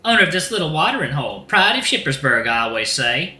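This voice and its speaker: A man voicing a flamboyant Southern rooster drag queen, high-pitched. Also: big pitch swings, fast, pushed and bright, echoey room, heavily acted. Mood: sassy, welcoming. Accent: put-on American Southern. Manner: folksy, effusive, uses terms of endearment.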